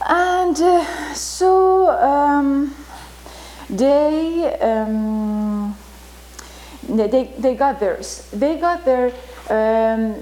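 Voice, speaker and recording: A woman from Iran whose first language is Turkish tells a story in an informal talk, halting with many fillers. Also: fairly high pitch, dark tone, slight background noise, low-quality recording. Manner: halting, many fillers, vivid retelling with acted quotes. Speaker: storyteller teaching about Rumi.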